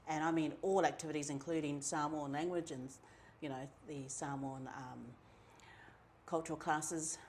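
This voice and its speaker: A middle-aged woman from New Zealand with a New Zealand accent, telling her own story in a relaxed, chatty way, with many ums and asides. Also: sits low, normal speed, slight background noise. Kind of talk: casual personal storytelling.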